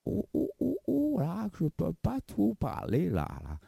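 A man's voice: sits high, huge pitch swings, unhurried, creaky, mellow, slightly rough, no room sound, studio quality, soft background music, heavily acted. A man playing a silly, boastful African visitor to Canada in a comedy interview sketch. He keeps calling the host by name and tacks filler tags onto his phrases. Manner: giggly, playful bragging.

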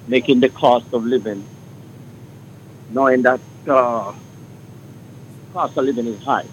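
A man calling in to a radio show, speaking slowly and emphatically. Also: fairly bright tone. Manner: slow, deliberate, emphatic. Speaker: caller. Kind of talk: call-in radio comment. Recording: muffled phone line with rumble.

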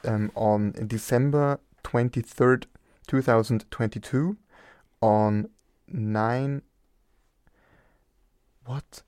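A man's voice: medium pitch, warm tone, smooth, no echo. A young man hosting a live radio show in a studio, talking in a loose, rambling, easygoing way. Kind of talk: casual live radio chat. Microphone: studio mic, wearing headphones.

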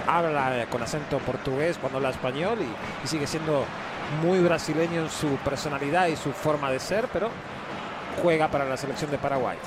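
Male soccer analyst over loud stadium noise. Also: fairly high pitch, brisk. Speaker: soccer color commentator. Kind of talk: live analysis and background remarks. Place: open-air soccer stadium. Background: loud outdoor stadium ambience.

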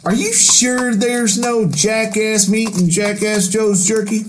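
A man voicing a dim-witted cowboy sidekick in a radio ad, with a slow, whiny drawl. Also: sits high, steady tone, over music, pitched above the performer's own voice. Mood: doubtful.

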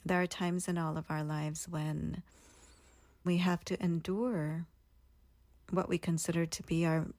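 A woman's voice: fairly low pitch, level tone, unhurried, long pauses, darkish tone, no room sound. A woman in her thirties, earnestly and reflectively telling her own story as a guest in an interview. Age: 30s.

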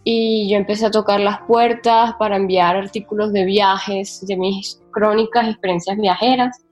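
A woman's voice: mid-range voice, steady tone, normal speed, a little mellow, dull audio, faint music behind. A woman from Colombia's Caribbean coast with that region's Spanish accent, telling her experiences candidly in a casual interview and repeating words for emphasis. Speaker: interview guest, solo traveler and travel writer.

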